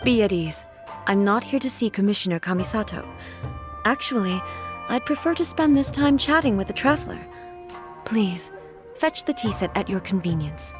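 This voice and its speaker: Woman playing a dignified ruler over soft music. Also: medium pitch, lively intonation, close to an everyday voice. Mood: courteous, calm. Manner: formal, measured, contemplative. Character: composed, dignified, reflective female almighty ruler, an immortal archon. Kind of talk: video game character acting.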